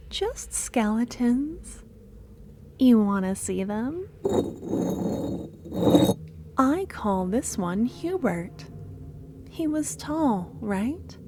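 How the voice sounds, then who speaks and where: A woman playing a loving, possessive female cultist kidnapper in a soft-spoken ASMR roleplay, breathy and coaxing, drifting into whispers. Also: fairly high pitch, background noise, exaggerated voice.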